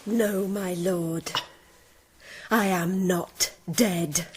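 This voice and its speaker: Headstrong young lady, grieving, accusing, very slow delivery, radio drama. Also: fairly low pitch, fairly even intonation, background noise. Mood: cold, ominous. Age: young adult.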